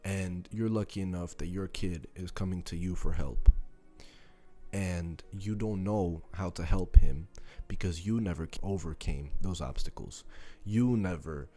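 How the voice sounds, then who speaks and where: A young man in his late teens speaks in a low, dark voice with faint music behind him. He delivers a motivational speech emphatically, driving points home with repetition and rhetorical questions. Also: a little slow. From born in Serbia, moved to the US. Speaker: motivational content creator.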